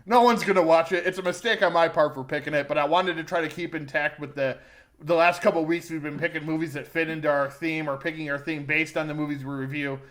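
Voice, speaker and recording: A man's voice: fairly high pitch, steady tone, quick pace, fairly bright tone. A man co-hosting a podcast, giving an informal, opinionated film review in a conversational way. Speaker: movie podcast co-host. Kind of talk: casual film review chat.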